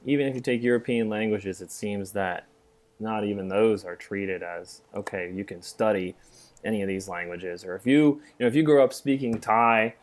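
A man's voice: on the low side, moderate pace. A middle-aged man co-hosting a casual podcast discussion, rambling a bit with self-deprecating humor. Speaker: podcast co-host, music theory teacher.

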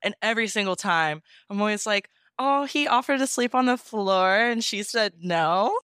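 A woman's voice: medium pitch, animated, moderate pace, a bit bright, clear, resonant tone, dry recording, clean studio sound. A woman guest chatting casually and telling stories in a gushing, self-mocking way. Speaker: interview guest, fan fiction audio reader. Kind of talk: casual animated storytelling.

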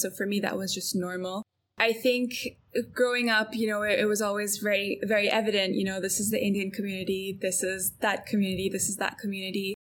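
A young woman from Belgium, a guest in a casual interview, chatting in a relaxed way with many fillers and frequent laughs. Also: medium pitch, slight background noise.

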